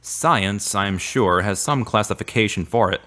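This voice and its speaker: A man playing an earnest, devout young man in a radio drama, with measured, formal, preachy phrasing. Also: lowish voice, pushed and bright. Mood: gentle, earnest.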